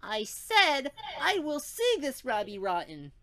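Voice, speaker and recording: A man's voice: very high voice, huge pitch swings, slow pace, pushed and bright, pitched above the performer's own voice, full character voice. A man voicing a prim, dainty young woman with a melodramatic, earnest manner in a comic story reading. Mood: earnest, determined.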